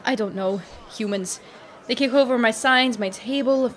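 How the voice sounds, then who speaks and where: A woman playing a gentle, modest elven market trader in an audio drama. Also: on the high side, normal speed, close to an everyday voice. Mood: guarded, troubled. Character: gentle young elven woman trader, friendly but guarded.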